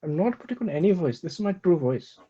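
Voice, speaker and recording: A pompous, self-appointed leader who barks orders and demands silence, talking in a thick put-on Indian accent, as a man performs him. The voice is dark and husky. The audio sounds dull and lo-fi. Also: fairly high pitch, sing-song, fast talker. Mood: indignant. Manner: haughty, commanding, demands silence. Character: pompous self-proclaimed male leader, bossy. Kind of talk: improvised comedy bit.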